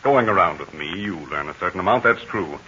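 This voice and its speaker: An actor playing a calm, fatherly heroic aviator in a 1940s radio serial, speaking in measured, assured tones. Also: sits low. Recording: dull scratchy 1940 radio transcription.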